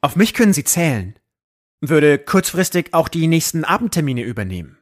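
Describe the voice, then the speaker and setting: Audio drama casting: man as a young male trainee reporter, anxious and keen, on the high side. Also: big pitch swings, normal speed. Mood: keen, pleading. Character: eager, nervous young male newspaper trainee.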